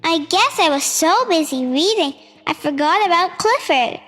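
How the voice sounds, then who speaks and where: Very high, childlike storybook reader in reverb. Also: lively intonation, normal speed, pushed and bright. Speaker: storytime host reading aloud. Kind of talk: read-aloud children's storybook narration. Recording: reverberant hall sound with music. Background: music.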